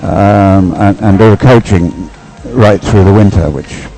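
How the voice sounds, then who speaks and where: A man's voice: low-pitched, unhurried, dark tone, soft background music, low-quality recording. A man giving unscripted live race commentary in a rambling, hesitant way, often thinking aloud. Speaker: sports commentator.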